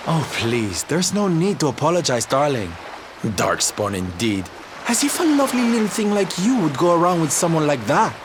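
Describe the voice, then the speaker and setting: An actor playing a flamboyant, kindly adventurer in a video game, campy and theatrical, full of endearments. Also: male voice, fairly high pitch, sing-song, moderate pace, background noise. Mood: reassuring, chatty. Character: flamboyant, kindly adult male showman adventurer, campy and brave. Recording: loud game music under the voice.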